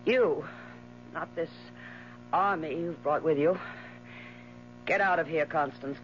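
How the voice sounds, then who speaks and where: A slow, bitter dying wife. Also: female voice, low-pitched. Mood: irritable. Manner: scornful, theatrical, drawn-out phrasing. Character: bitter, mocking dying wife with a ghastly smile. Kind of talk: 1970s radio drama acting. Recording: muffled 1970s radio recording with hum.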